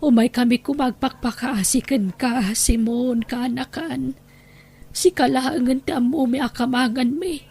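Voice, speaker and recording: An older Ilocano grandmother , played by a woman, in an audio drama. Her voice is high and husky, and she speaks slowly, humbly and plaintively, with great deference. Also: darkish tone, full character voice. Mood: pleading. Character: humble, pleading older homeless wife and grandmother.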